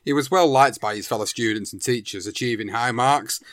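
A man narrates a documentary in an even, measured way and often opens his sentences with "now". His voice sits at a middle pitch. The recording is close and dry, with no room around it. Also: no room sound.

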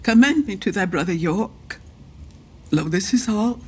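Husky, slow-spoken grieving widowed duchess. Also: female voice, medium pitch, expressive, darkish tone. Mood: grieving, desolate. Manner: slow, emotional, formal archaic verse. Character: grieving older widowed duchess, bitter and desolate. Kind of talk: Shakespearean verse radio drama.

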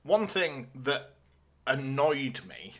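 A man's voice: medium pitch, sing-song, drawn-out words. A man co-hosting a podcast in casual, conversational chat. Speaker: podcast co-host.

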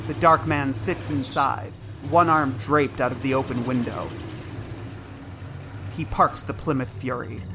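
A man giving measured, suspenseful scripted narration for an audio drama. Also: mid-range voice, steady tone, noisy background. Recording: music and effects under narration.

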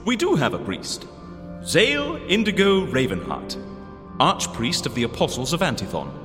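An actor playing a playful, riddling master of ceremonies in a surreal audio drama, with a theatrical, teasing manner. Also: male voice, fairly high pitch, huge pitch swings, moderate pace, over music. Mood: teasing, sly.

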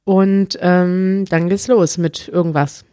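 A woman's voice: on the low side, steady tone, takes it slowly, dark tone, clean tone, no echo. Chatty woman on a dry mic. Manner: chatty, laughs often. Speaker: podcast co-host. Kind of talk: casual chat.

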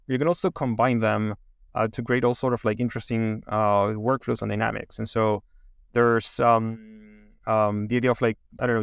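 Halting man with smooth, dry voice. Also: medium pitch, no room sound. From Chile. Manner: halting, many fillers and restarts. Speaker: AI company founder giving a demo. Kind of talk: casual interview.

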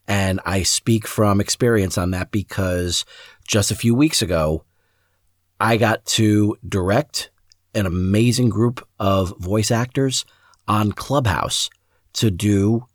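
A man with a fairly low voice introduces a podcast episode. He addresses his listeners directly with enthusiasm and gratitude. The sound is dry, with little room around him. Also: no echo. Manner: enthusiastic, appreciative. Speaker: podcast host.

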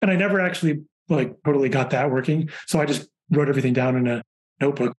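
Relaxed storytelling male engineer guest. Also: mid-range voice, fast talker. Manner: relaxed storytelling, chatty anecdotes. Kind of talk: casual conversational interview.

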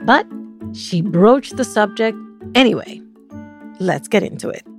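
A woman hosting a podcast, reading a scripted introduction in a measured way. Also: medium pitch, expressive. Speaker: podcast host. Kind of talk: scripted narration. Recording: guitar music underneath.

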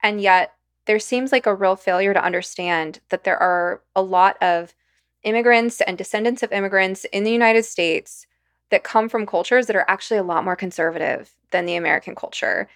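A woman hosting an interview, asking measured, thoughtful questions. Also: medium pitch, fairly bright tone, no echo, clean studio sound. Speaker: podcast host interviewer.

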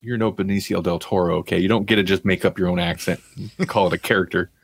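A man's voice: low-pitched, fairly even intonation, fast, vocal fry. A man hosting a casual pop culture talk show in a wry, conversational way. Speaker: podcast host.

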